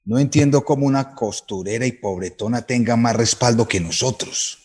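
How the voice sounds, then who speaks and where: An actor with a Colombian accent playing a vain, domineering politician in a radio drama, speaking with deliberate threat. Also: male voice, mid-range voice, a little mellow, slightly rough, natural voice. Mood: irritated scheming. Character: menacing, vain, domineering male politician, contemptuous of women.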